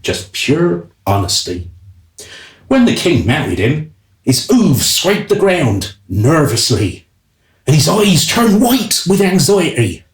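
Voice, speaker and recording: A man's voice: fairly high pitch, sing-song, slow pace. A man playing a wry royal groom who narrates a comic radio play in a theatrical style, with an echoing sound. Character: wry male royal groom telling the tale.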